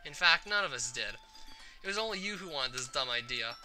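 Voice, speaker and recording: A man's voice: mid-range voice, lively intonation, brisk, over music, natural voice. A man voicing a feisty, blunt teenage schoolgirl in a visual novel, snappy and indignant. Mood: angry.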